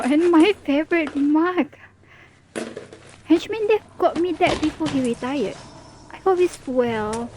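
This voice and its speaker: High-voiced, teasing female supervillain, acted by a woman. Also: darkish tone, a little hiss or hum. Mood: alarmed. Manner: sarcastic, drawling, mock-dramatic. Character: teasing, theatrical female supervillain, playful and world-weary. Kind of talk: ASMR roleplay audio drama.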